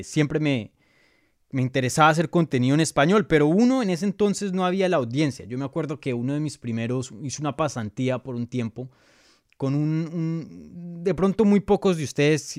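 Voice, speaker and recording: A Colombian-born man in his thirties answers viewer questions in a loose, conversational way, stopping and restarting often. His voice sits at a middle pitch. The sound is close and dry, with no room echo. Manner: chatty, many fillers and restarts. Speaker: MMA journalist and show host. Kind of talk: live casual Q&A reading viewer questions.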